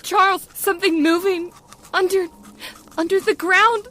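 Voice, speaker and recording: An actress playing an intense, pleading middle-aged wife, long-suffering and frightened, in an old-time radio horror drama. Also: female voice, very high-pitched, steady tone, measured pace, bright, forceful tone, a little hiss or hum, full character voice. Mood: terrified.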